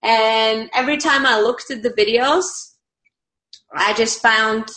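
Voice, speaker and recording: A woman's voice: fairly high pitch, measured pace, bright, forceful tone. A woman from Bulgaria with a Bulgarian accent, chatting casually in an interview, bubbly and laughing often between fillers. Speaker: online community manager.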